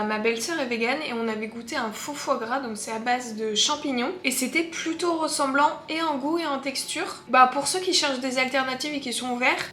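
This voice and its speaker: A woman's voice: on the high side, a bit bright. A young woman casually chatting as she tastes food for her vlog, candid and full of fillers. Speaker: food-tasting vlogger. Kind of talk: casual taste-test chat. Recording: echoey room with faint background hum.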